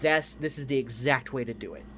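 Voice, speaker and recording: A man's voice: medium pitch, animated, fairly quick, a bit bright, a little hiss or hum. A man talking casually as a podcast co-host about films and games, emphatic and opinionated, swearing freely. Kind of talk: casual film and game discussion.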